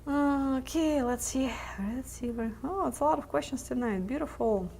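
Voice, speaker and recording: A woman's voice: high-pitched, normal speed, gravelly, darkish tone, a little hiss or hum. Woman hosting a live q&a stream, chatty, laughs a lot, voice going a bit from talking so much. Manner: chatty, laughs easily, many filler words and restarts. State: losing her voice. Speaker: webcast host answering viewer questions. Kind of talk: live question-and-answer chat.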